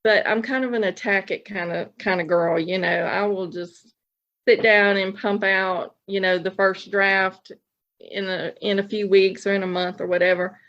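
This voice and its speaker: A woman's voice: medium pitch, steady tone, normal speed, a bit bright, dull audio. A woman chatting casually as a podcast guest, informal and rambling, often restarting her sentences. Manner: informal, rambling, self-interrupting. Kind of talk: casual chat.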